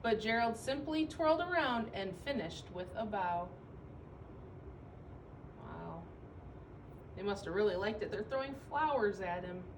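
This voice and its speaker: Distant-miked woman reading a picture book aloud. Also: medium pitch. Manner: expressive, measured phrasing, explains pictures simply. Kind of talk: read-aloud storytelling with simple asides. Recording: distant mic with faint background noise.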